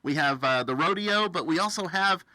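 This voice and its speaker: A man hosting a casual radio interview, with halting, hesitant phrasing. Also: fairly high pitch, expressive, pushed and bright, no room sound. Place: radio studio, face to face with guest.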